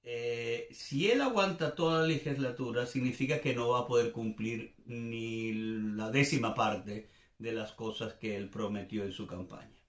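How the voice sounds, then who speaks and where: A man's voice: mid-range voice, a little slow. An older man from Venezuela with a Venezuelan accent, giving informal commentary off the cuff, searching for words and repeating phrases for emphasis. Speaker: commentator and host. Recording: echoey, reverberant room.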